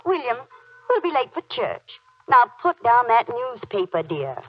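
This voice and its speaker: A woman's voice: on the high side, big pitch swings, a little slow, slight background noise. An actress playing a fond, nagging, optimistic wife and mother in 1940s radio acting style. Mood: chiding. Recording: dull vintage radio recording.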